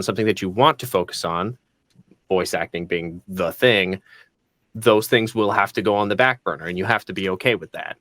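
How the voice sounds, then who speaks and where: A man's voice: on the low side, fairly bright tone, no room sound, clean studio sound. A man chatting casually on a panel as a voice actor, self-deprecating and joking. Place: indoors on a video call.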